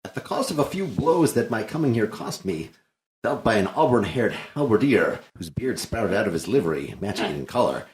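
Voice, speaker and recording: Cheeky clown servant played by a man, animated pitch. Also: a little fast. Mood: wry, boastful. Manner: theatrical, wry, florid. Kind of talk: unrehearsed dramatic play reading of classical comedy. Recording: echoey room.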